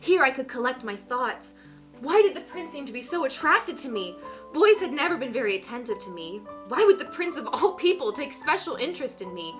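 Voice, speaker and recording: A woman's voice: high-pitched, big pitch swings, brisk, music in the background. A young woman giving a dramatic reading with casual commentary, in a playful, giggly manner. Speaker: host.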